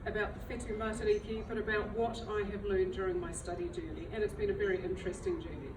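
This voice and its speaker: A woman's voice: medium pitch, little pitch movement, quick pace. Woman, chatty and self-deprecating, speaking at a library talk, miked from a distance in a noisy room. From New Zealand. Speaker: library director giving a talk. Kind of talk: public talk to an audience. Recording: roomy, far from the mic, noisy.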